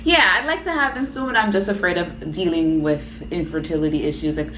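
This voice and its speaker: A woman's voice: fairly low pitch, brisk, faint music behind. A woman in her thirties co-hosting a casual chat, talking in an earnest, reflective way and thinking aloud. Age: 30s. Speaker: co-host. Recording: echoey room with steady background noise.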